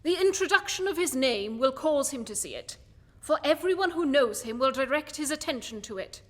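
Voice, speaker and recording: A woman playing a cerebral, commanding male detective in a radio play, speaking in eloquent, measured, declamatory phrases. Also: sits high, animated. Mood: brisk, commanding. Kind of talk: theatrical radio play monologue and dialogue. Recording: music behind the voice.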